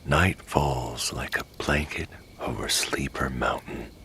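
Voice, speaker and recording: A man narrating a scripted bedtime story in a breathy, near-whispered, hushed and lingering way. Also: very deep voice, slow, slight background noise.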